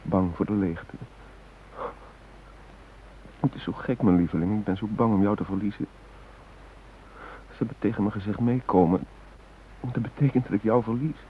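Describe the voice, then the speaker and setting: Actor as a deep-voiced doomed hostage, anguished. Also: male voice, lightly acted. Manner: anguished, intimate, pleading. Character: doomed male hostage voicing a last letter to his beloved. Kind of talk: radio play monologue. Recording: hissy, narrow-band old radio recording.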